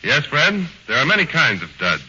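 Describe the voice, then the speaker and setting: Lively American radio announcer. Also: male voice, mid-range voice, moderate pace, husky. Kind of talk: 1940s radio comedy sketch and commercial. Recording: dull 1940s radio broadcast with faint hiss.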